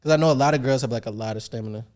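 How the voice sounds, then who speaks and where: A man's voice: mid-range voice, very fast, darkish tone. A young man hosting a casual podcast chat, asking loose, slangy questions and laughing often. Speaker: podcast host.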